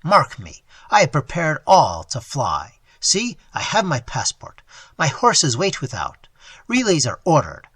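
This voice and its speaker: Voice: medium pitch, huge pitch swings, a little slow, fairly bright tone, husky, dry recording, full character voice. A reader voicing a cold young male robber who speaks with hard, mocking scorn. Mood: cold threat.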